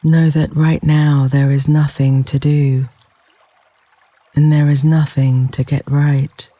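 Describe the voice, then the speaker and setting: A man leading a guided yoga nidra meditation in a gentle, soothing, unhurried manner with long pauses between phrases. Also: a little high, flat delivery, no room sound. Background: flowing river water sounds.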